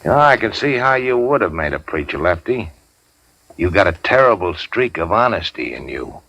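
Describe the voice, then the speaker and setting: Low hard-boiled racketeer. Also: male voice, big pitch swings, moderate pace, creaky, bright, forceful tone, slightly rough, dry recording, full character voice, low-quality recording. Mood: dry, cool. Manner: hard-boiled, slangy, clipped. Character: tough, cynical male racketeer boss, coolly sardonic. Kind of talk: 1940s radio crime drama acting. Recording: dry 1940s radio recording.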